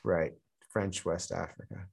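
A man, a podcast guest, who chats in a relaxed, conversational way with fillers. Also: deep voice, a little slow, gravelly, slightly rough. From Canada. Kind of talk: casual interview conversation.

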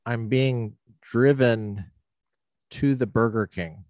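A man hosting a podcast who tells a personal story in an animated, casual way, often restarting his sentences. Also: mid-range voice, slow, no room sound. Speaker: podcast host.

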